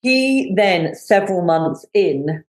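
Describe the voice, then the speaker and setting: A woman's voice: lowish voice, slow pace. A middle-aged woman, a business coach, telling her story as an interview guest with lots of fillers. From United Kingdom. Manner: animated storytelling with many fillers. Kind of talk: conversational podcast interview.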